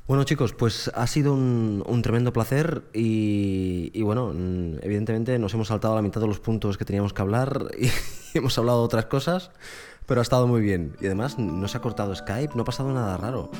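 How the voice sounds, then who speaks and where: A man with a Spain Spanish accent, hosting a podcast call in a relaxed, friendly way. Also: fairly low pitch, brisk, soft background music. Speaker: podcast host. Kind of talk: casual chat.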